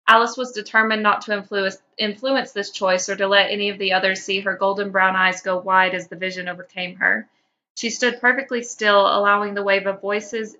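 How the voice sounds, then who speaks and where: A woman's voice: mid-range voice, bright, forceful tone. A woman who hosts a podcast, heard on a slightly lo-fi recording. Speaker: podcast host. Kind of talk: casual banter.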